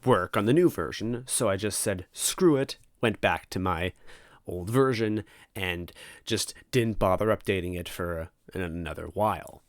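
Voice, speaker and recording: Lowish voiced guy doing a casual host ramble, stop-start and unsure, pretty dry sound. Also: dry recording. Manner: hesitant, halting, self-interrupting. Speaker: host of a fan fiction reading series. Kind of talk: casual rambling preamble to listeners.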